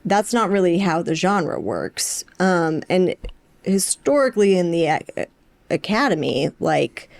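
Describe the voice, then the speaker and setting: A woman's voice: fairly low pitch, sing-song, a little slow, creaky, a little mellow. A young adult woman co-hosting a podcast, talking in a casual but analytical, thoughtfully academic way. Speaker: podcast co-host.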